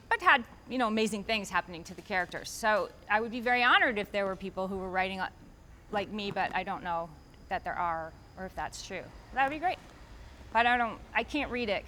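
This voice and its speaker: A woman's voice: medium pitch, pushed and bright, slight background noise. A woman author answering interview questions in a chatty, rambling way, restarting her sentences often and lifting her voice for emphasis.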